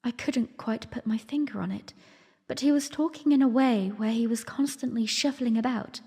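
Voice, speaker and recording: A woman playing a young, refined princess, narrating her memories earnestly and formally in a breathy voice for an audiodrama. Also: a little high, moderate pace, mellow. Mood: curious, narrating. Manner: storytelling, formal. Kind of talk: first-person dramatic narration. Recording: long reverberant hall echo.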